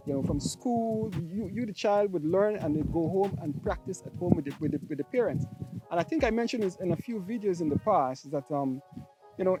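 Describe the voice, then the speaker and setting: Man from Guyana, high-ish voice. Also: darkish tone, poor audio. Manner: hesitant, many ums, laughs easily. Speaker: guest. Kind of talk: casual storytelling chat. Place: outdoors on a farm.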